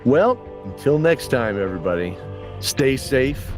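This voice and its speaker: A man's voice: mid-range voice, big pitch swings, measured pace, faint music behind. A man hosting a casual podcast interview, chatting conversationally with his guest. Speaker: podcast host and producer.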